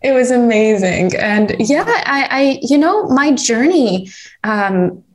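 A woman from Tanzania telling her personal story as a guest in a conversation, earnest and animated, with many restarts and "you know". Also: on the high side, takes it slowly.

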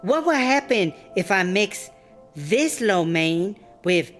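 Slow, taunting tyrant boss. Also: female voice, medium pitch, sing-song, soft background music. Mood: mock innocent, menacing. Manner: broken English, mocking, drawn-out. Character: tyrannical, taunting foreign startup boss woman. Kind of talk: broad comedy audio drama acting.

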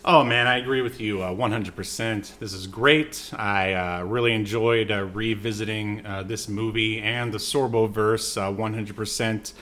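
A man hosting a podcast, casually discussing a film in a halting, agreeable, conversational way. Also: on the low side, steady tone, fairly bright tone. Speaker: podcast host reviewing films.

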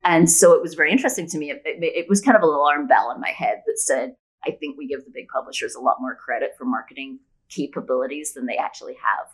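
Low-pitched woman, opinionated podcast guest. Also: fast talker, hardly any pauses, slightly rough, rough recording. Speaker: podcast guest, women's fiction author. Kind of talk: conversational interview, explaining opinions.

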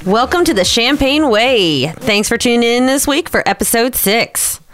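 A woman's voice: fairly high pitch, expressive, moderate pace, a bit bright, faint music behind. A woman hosting a casual podcast, chatty and giggly, often saying "like". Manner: chatty, giggly, says "like" a lot. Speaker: podcast host. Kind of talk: casual chat.

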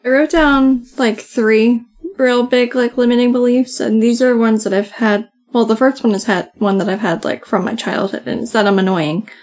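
Soft-voiced woman, slightly high, chatty. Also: steady tone, normal speed. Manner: chatty, many "like" fillers. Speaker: podcast co-host. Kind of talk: casual conversation.

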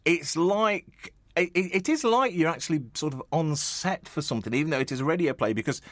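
A man's voice: on the high side, expressive, brisk, a bit bright, dry recording. A man hosting a casual radio interview, chatting in a rambling, conversational way and often stumbling over his words. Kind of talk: casual chat.

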